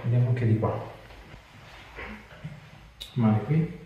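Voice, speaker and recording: A man's voice: medium pitch, takes it slowly, phone-quality sound. A man, a chiropractor giving short, practical questions and instructions during an exam. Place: small tiled clinic treatment room. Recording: echoey room, distant mic, faint noise.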